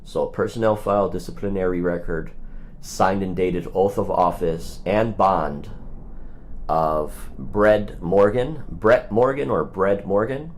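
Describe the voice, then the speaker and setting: Very deep-voiced man, straight to the point. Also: slow, a little hiss or hum, rough recording. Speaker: host of a live phone-call audit stream. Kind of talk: phone requests and casual livestream commentary.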